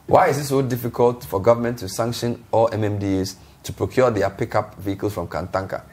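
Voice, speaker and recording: A man hosting a TV talk show, reading out viewer messages and questioning his panel briskly. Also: fairly low pitch, steady tone, darkish tone. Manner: brisk, reads texts aloud with quick asides.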